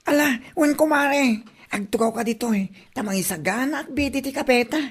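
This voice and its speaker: An actress plays an excitable woman with a high voice. She chats in a gossipy, exclaiming way, in the style of comedy drama. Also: a little fast, husky. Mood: excited, friendly. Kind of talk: Ilocano comedy drama acting.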